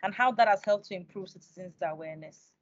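A woman's voice: mid-range voice, fast talker. A young woman interviewing in an encouraging, conversational way as a podcast host. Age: young adult. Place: indoors on a video call. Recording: dry but dull video call.

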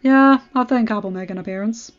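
A woman's voice: a little high, fairly quick, lo-fi sound, natural voice. A woman voicing a cool, casual, reassuring man, a superhero mentor, in an audiobook story with an offhand confidence. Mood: amused. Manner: laid-back, offhand, confident. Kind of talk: fan fiction audiobook character voice. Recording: band-limited audio with background music.

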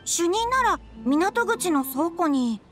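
A woman's voice: very high-pitched, very animated, takes it slowly, music in the background, full character voice. A woman playing a worried, businesslike publishing house worker in an anime game, speaking casually and matter-of-factly. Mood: friendly, businesslike.

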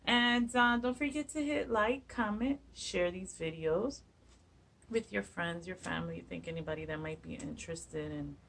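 Forties woman chatting thru a craft tutorial, lowish voice, phone a bit far off in a kitchen. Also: normal speed, creaky, a bit bright, a little hiss or hum. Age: 40s. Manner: chatty, upbeat, lots of fillers. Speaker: craft channel host. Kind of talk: casual DIY tutorial chat. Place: home kitchen, indoors. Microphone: phone camera a few feet away.

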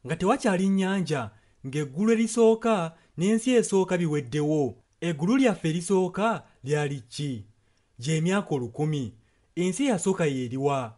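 A man's voice: fairly high pitch, lively intonation, moderate pace, darkish tone. A man with a Ugandan accent interpreting a sermon in short translated passages.

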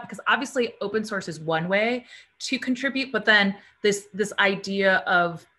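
A woman moderates a video-call panel, asking animated, engaged questions. Her voice is bright and forward at a medium pitch. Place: home room.

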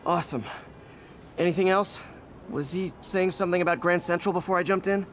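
An actor playing a young, quippy, well-meaning superhero in a video game, awkward and self-deprecating. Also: male voice, high-pitched, brisk, fairly bright tone, a little hiss or hum. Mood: flustered. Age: young adult. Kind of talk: naturalistic video game acting.

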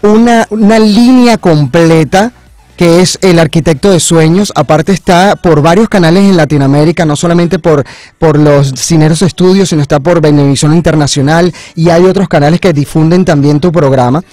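High-voiced, enthusiastic male radio host. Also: normal speed, darkish tone, dry recording. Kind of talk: conversational radio interview. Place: small radio studio. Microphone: studio microphone on boom arm, headphones.

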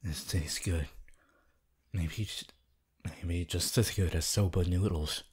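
A man voicing a cold, proud, brooding teenage hero student in an ASMR audio roleplay, husky and breathy, often near a whisper, with terse, deliberate delivery. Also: sits low, steady tone, darkish tone, exaggerated voice. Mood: guarded, curious. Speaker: roleplay voice actor.

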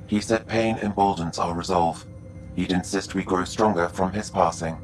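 Very deep, measured AI companion played by a man. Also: soft background music, natural voice. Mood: calm, solemn. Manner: measured, formal, even. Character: calm, measured artificial intelligence companion, male-voiced. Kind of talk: video game voice acting.

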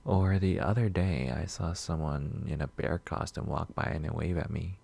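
A man playing a shy, sweet, nervous coffee shop regular in a soft-spoken ASMR roleplay, gentle, hesitant and rambling. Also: rumbling low, normal speed, vocal fry, exaggerated voice. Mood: calm, musing. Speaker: roleplay audio performer.

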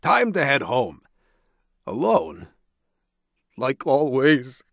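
A man's voice: mid-range voice, huge pitch swings, very slow, gravelly, a bit bright, dry recording, clean studio sound, full character voice. A man voicing an aquarium shark mascot in a video game, a cheesy showman with a lonely, weary side, in an exaggerated theatrical delivery. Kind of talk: video game character voice acting.

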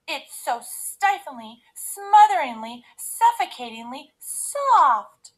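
Lady doing a bratty fussy little storybook girl, high and super dramatic, swoops all over. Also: very animated, a bit bright, heavily acted, rough recording. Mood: grumbling, exasperated. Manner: dramatic exclamations, drawn-out complaints.

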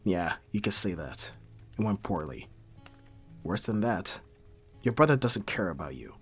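A man acting a brooding mafia boss, hard but secretly caring, in a dramatic audio roleplay with terse, measured delivery. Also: sits low, big pitch swings, slightly rough, faint music behind, full character voice. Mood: low, gentle. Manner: terse, profane, sighing, measured.

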